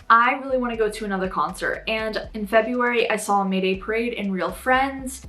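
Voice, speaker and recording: A woman's voice: mid-range voice, fairly bright tone. A young woman vlogging casually to camera, chatty and full of fillers, her voice strained and sore. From Florida, United States. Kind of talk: casual vlog talk to camera.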